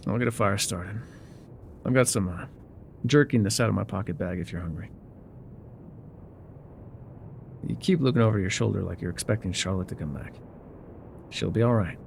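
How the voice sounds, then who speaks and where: An actor playing a gruff, guarded male trail guide in an immersive audio roleplay, with wry, folksy, laconic phrasing. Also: on the low side, a little fast, slight background noise. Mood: easygoing. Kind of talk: immersive ASMR roleplay acting, talking to the listener.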